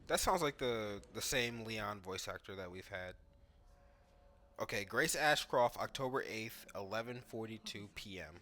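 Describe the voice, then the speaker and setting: A man with a slightly low but bright, crisp-edged voice hosts a gameplay video. His commentary is casual and informal, as if thinking out loud while he plays. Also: animated, a little slow, a little hiss or hum. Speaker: gaming video host.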